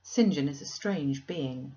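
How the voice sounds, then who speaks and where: Woman acting an emphatic, concerned young gentlewoman, muffled. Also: low-pitched, lively intonation, measured pace. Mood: concerned, probing. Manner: emphatic, questioning. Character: concerned, outspoken, affectionate sister, a young gentlewoman.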